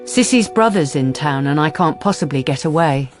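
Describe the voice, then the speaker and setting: A woman narrating a radio drama as a measured, serious storyteller. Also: sits low, expressive. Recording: radio narration over clear music.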